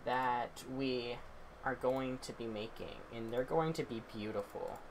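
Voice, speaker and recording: A man's voice: mid-range voice, a little slow, a little hiss or hum, poor audio. A young man co-hosting a casual livestreamed podcast, rambling and apologizing, chewing snacks as he talks. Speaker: podcast co-host.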